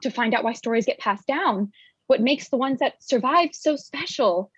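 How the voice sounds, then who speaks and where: A yearning, bookish young woman, played by an actress in a staged drama reading. Her fairly high voice is husky, with a defensive edge. The recording is dry and a little dull. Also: lively intonation, normal speed, no room sound. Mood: curious, dreamy.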